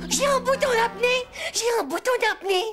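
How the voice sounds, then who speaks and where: A woman voicing a cocky, excitable little schoolboy in a French cartoon, boastful and slangy, with a gravelly voice. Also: very high voice, moderate pace. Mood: excited. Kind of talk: French animated series dialogue. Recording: music behind the voice.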